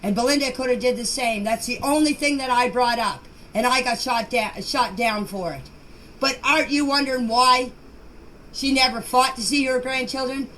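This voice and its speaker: A woman of middle age or beyond talks in a somewhat high voice, as if leaving voice messages. She is insistent and defensive, circling back on her points and tripping over words. The homemade recording carries faint noise. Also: a bit bright. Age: middle-aged or older. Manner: defensive, insistent, repeating herself for emphasis; halting, stumbling over words and names. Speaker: member of an online group chat sharing voice messages. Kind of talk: casual unscripted voice messages. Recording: noisy amateur voice message recording.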